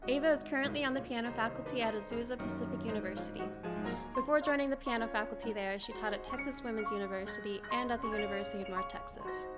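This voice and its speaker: A woman host speaks quickly and brightly, a little high in pitch. Loud instrumental music plays behind her voice as she reads the introduction and welcomes her guest. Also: fairly even intonation. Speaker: interview host. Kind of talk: scripted intro narration and interview hosting.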